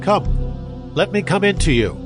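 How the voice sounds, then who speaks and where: A stern patriarch, music behind. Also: male voice, high voice, very animated, unhurried. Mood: eager. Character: Judah, stern male patriarch of ancient Canaan. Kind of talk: dramatized scripture audio acting.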